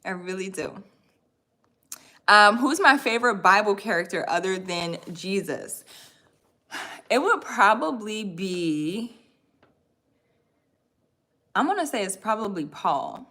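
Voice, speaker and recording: A woman in her thirties chats casually on a livestream, friendly and upbeat. Her voice sits in a middle pitch, and she often drops in "y'all" and "right?" as she reads viewer comments. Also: lively intonation, slow pace, long pauses, sharp tone. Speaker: livestream host and course coach.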